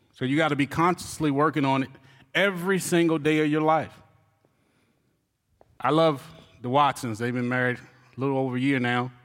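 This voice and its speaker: A man preaching a sermon, telling a comic story with shouted cries and playful asides to the congregation. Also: on the high side. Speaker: pastor. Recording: long reverb of a large hall.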